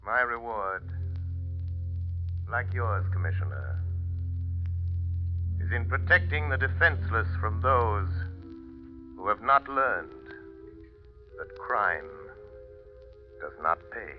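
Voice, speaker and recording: An actor playing a mysterious crime avenger in a 1930s radio drama, speaking in a grave, commanding, deliberate way. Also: male voice, lowish voice, very animated, soft background music. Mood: solemn. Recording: muffled vintage radio recording.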